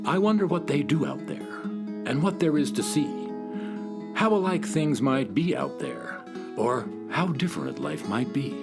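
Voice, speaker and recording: A man's voice: mid-range voice, big pitch swings, a little slow. An actor playing a thoughtful, curious storybook king in a children's radio drama, a man musing wistfully. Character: dreamy, curious, thoughtful candy-planet king. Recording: guitar music behind the voice.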